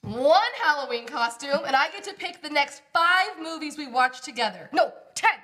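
A young woman playing an excitable, scheming, teasing sister with theatrical emphasis in a comedy table read. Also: high voice, moderate pace. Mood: scheming, playful. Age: young adult. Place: small indoor room at a table.

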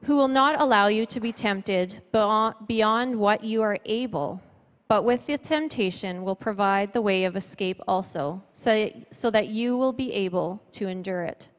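A middle-aged woman speaking at church in a measured, earnest way, giving testimony and scripture from notes on a handheld microphone. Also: mid-range voice. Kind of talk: sermon with personal testimony and scripture reading. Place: church stage before a seated audience, indoors. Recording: reverberant hall, amplified church sound.

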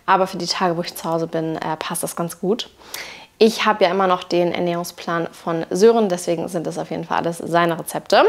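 A woman's voice: fairly low pitch, fairly even intonation, a little fast, gravelly. A woman, chatting casually and colloquially as she narrates a home vlog on a clip-on mic. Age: 20s. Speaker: vlogger. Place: indoor room at home. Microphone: clip-on wireless lapel mic.

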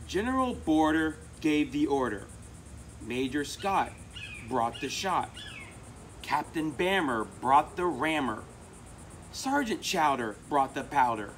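A middle-aged American man with an expressive, emphatic delivery, presenting and reading a children's picture book aloud. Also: fairly high pitch, takes it slowly. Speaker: volunteer storybook reader. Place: outdoors among palm trees. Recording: outdoor recording with insect noise. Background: crickets and insects.

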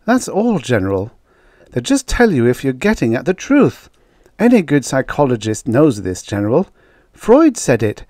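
A man voicing a quick-tempered woman academic who argues and lectures with emphatic conviction. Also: medium pitch, huge pitch swings, dark tone, dry recording, clean studio sound, pitched above the performer's own voice. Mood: earnest lecturing.

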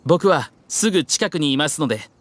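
An actor playing a polite, earnest young man in an anime game, gentle and courteous. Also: on the high side, animated, normal speed, slight background noise. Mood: gentle, content.

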